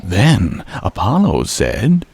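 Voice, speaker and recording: An actor playing a theatrical storyteller, giving rhythmic rhyming narration in a radio drama and relishing every word. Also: male voice, lowish voice, wildly swooping pitch, slow, vocal fry, a little mellow, husky, no room sound, heavily acted. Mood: wry, measured. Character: theatrical male storyteller relishing a mythic tale.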